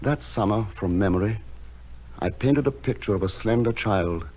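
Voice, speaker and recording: A lonely bird-keeping recluse with a low voice and a gentle, measured delivery. He speaks in literary radio-drama style, with music playing behind him. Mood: wistful, lonely. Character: lonely, gentle, misshapen male recluse who tends wild birds. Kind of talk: radio drama acting and first-person narration.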